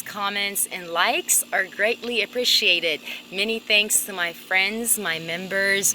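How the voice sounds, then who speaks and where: A bright, forward-sounding woman with a mid-pitched voice talks through a gardening vlog. She presents in an upbeat, unhurried way, recorded outdoors with some background noise. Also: poor audio. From Persia. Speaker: plant vlogger and cultivator. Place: outdoors in a sunny garden by a fence.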